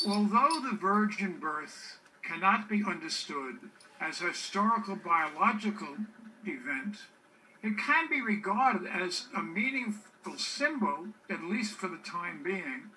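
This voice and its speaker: Guy on a video call, sharp bright voice, sounds far from mic, some noise. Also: sits high. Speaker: poet. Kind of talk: introducing and reading a quote. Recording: distant, noisy video call audio.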